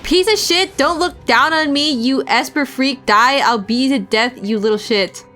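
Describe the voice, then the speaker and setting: A woman's voice: sits high, normal speed, bright, forceful tone, faint music behind, pitched above the performer's own voice. A woman voicing a pack of vicious young male thugs, snarling and profane in a storybook reading. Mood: enraged.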